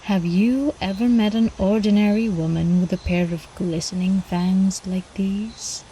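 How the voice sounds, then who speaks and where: Slow, velvety seductive vampire countess played by a woman. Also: medium pitch, fairly even intonation, warm tone, slight background noise, natural voice, poor audio. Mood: calm, predatory. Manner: slow, teasing, cooing, often dropping into whispers. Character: seductive, predatory female vampire countess, ancient, doting and menacing. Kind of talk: ASMR roleplay, intimate scripted monologue.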